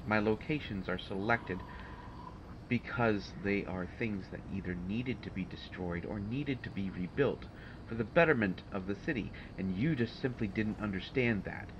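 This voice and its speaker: A man's voice: on the low side, normal speed, highs cut off, noisy background, close to an everyday voice. A man playing a haughty, prickly supervillain mastermind in an audio roleplay, speaking in formal, condescending, exasperated phrases. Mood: exasperated, lecturing. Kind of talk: audio roleplay acting addressed to the listener.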